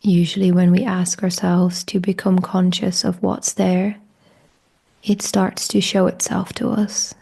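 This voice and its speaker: A woman leads a guided meditation in a soft, hushed delivery that often slips toward a whisper. Her voice is mellow and breathy, with a gravelly fry at the edges. She keeps her pitch very even as she offers slow invitations to the listener.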